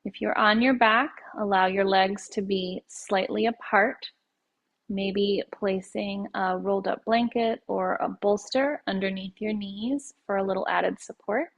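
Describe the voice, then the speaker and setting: A woman leading a guided yoga nidra meditation in a soothing, unhurried way, letting her phrases end softly. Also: medium pitch, fairly even intonation, dull audio.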